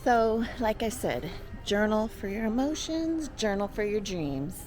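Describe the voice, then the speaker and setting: A woman with a mid-pitched voice talks to viewers in a casual shopping vlog. She gushes with enthusiasm as a co-host would. Music plays clearly behind her. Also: animated, a little slow, darkish tone. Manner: gushing, enthusiastic.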